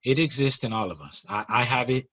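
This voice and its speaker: Long beach guy, earnest, stumbles and restarts, husky voice, dull lo-fi sound. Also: mid-range voice, brisk, fairly bright tone. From Long Beach area, California. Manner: earnest, repeats words and restarts, loves examples. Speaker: nonprofit co-founder and guest. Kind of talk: conversational interview. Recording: muffled, lo-fi audio.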